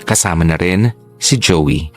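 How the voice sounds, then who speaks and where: Deep filipino guy narrating a sad confession letter, sombre, soft music under it. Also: expressive, unhurried. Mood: sombre, troubled. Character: narrator reading a troubled young woman's letter, sombre. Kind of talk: scripted first-person radio drama narration.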